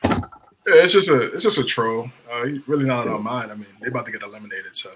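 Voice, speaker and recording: A man co-hosting a casual sports podcast over a video call, excitable and prone to repeating himself. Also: medium pitch, quick pace, slight background noise. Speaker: podcast co-host.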